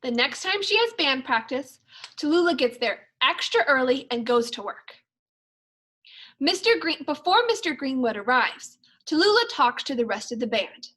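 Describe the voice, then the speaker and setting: Woman, storybook read-aloud for children, high bright voice, expressive, measured phrasing. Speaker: second grade teacher.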